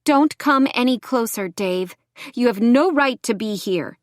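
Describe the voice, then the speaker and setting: A firm, defiant young mother, voiced by a woman narrator standing up to a threat in an audiobook drama. Her voice rises high over a dry, close recording. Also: expressive, unhurried, dry recording. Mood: steady, defiant.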